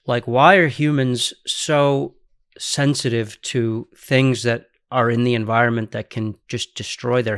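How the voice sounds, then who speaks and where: Curious man hosting a podcast. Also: mid-range voice. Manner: curious, asks follow-up questions. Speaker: podcast host. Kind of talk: casual podcast interview.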